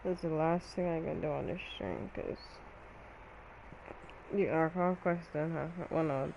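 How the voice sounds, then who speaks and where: Gravelly streamer, slangy gameplay chat, talking to self, noisy background. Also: mid-range voice, measured pace, a little mellow, muffled recording. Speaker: game streamer. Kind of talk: casual muttered gameplay commentary.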